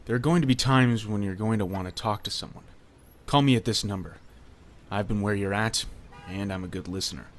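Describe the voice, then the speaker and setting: An actor playing a defiant young hero and former sidekick in a comic dub, speaking bluntly and with feeling. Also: male voice, lowish voice, close to an everyday voice. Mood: friendly, mentoring. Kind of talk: comic dub dramatic acting.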